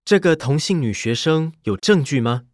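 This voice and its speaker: Audiobook role: man playing a cool, probing lawyer, clipped and domineering, lively intonation, dry recording. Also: fairly high pitch, normal speed, dry recording, pitched above the performer's own voice, natural voice. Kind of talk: audiobook character dialogue.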